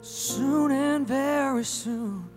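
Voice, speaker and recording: A man singing a slow gospel song in church, with gentle, drawn-out phrasing. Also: extremely high pitch, over loud music. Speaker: pastor singing.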